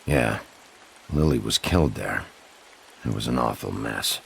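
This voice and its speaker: An extremely deep, gravel-throated detective, gruff and world-weary. He talks bluntly and keeps it terse, as in a dark thriller game, with faint rain behind him. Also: lively intonation, measured pace, slight background noise, exaggerated voice, low-quality recording. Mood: subdued, regretful. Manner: blunt, low-key, terse. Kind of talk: dramatic video game acting.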